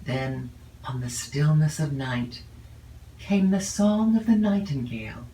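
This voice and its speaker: A woman narrates classic literature in a roomy space, a little away from the microphone. Her reading is measured and expressive, lingering over phrases. A faint noise sits under the voice. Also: deep voice, distant mic. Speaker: audiobook narrator.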